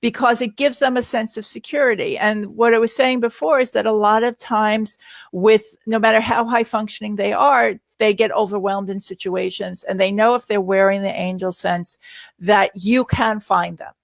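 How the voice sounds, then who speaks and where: A woman's voice: mid-range voice, fairly even intonation, moderate pace, vocal fry, no echo. A middle-aged woman answering questions in a casual live Q&A, speaking in an earnest, reassuring way. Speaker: guest answering viewer questions.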